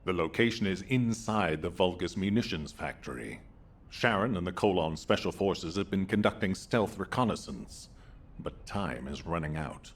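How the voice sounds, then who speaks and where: An actor playing a serious military officer delivering a mission briefing in a video game. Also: male voice, deep voice, animated, normal speed. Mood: serious, urgent.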